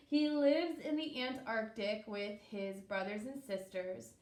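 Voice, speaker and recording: Woman, preschool teacher, unhurried story read-aloud, expressive, fairly high voice, echoey room. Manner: expressive, explaining and asking young listeners questions.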